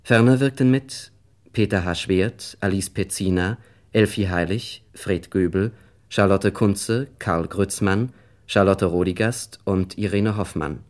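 A man working as a radio announcer, reading scripted credits in a measured, formal manner. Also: low-pitched.

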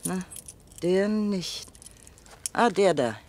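A woman's voice: mid-range voice, animated, extremely slow, darkish tone. A woman playing a chatty, homely older housewife in a naturalistic German radio crime drama, speaking colloquially as a helpful witness. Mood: flustered, chatty. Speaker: actor in a crime radio play.

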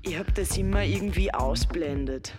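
A woman's voice: on the low side, moderate pace, music in the background, close to an everyday voice. A woman playing a resigned, pragmatic commune member in a German radio drama.

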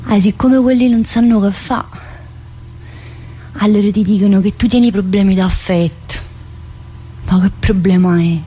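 An actress plays a woman shut away in a psychiatric prison, talkative and troubled. Her Neapolitan voice is husky and mid-pitched. She confides in a loose, colloquial ramble broken by abrupt pauses and little interjections, as in an intimate radio theatre monologue. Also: fairly even intonation, mellow, lightly acted. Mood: indignant, mocking.

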